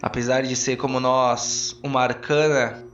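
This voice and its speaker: A man's voice: mid-range voice, little pitch movement, a little slow, roomy sound, lo-fi sound, music in the background, natural voice. A man playing a grave, eloquent arcane council speaker with a formal, declamatory delivery in a tabletop audio drama. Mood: regretful.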